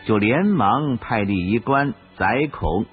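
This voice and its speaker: Low-voiced man, expressive radio drama narrator, storytelling delivery, music behind, dull recording. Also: slow, breathy edge.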